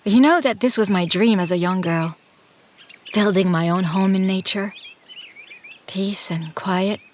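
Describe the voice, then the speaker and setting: An actress as a homesick, reflective woman in an audio drama. She has a mid-range pitch and a natural, wry delivery, with faint insects in the background. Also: a little slow, slight background noise, close to an everyday voice. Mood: teasing, reflective. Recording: faint outdoor ambience with insects.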